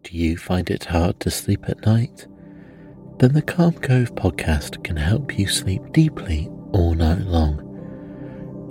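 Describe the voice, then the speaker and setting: Male ad announcer, deep register, scripted podcast spot with clear music underneath. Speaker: advert announcer.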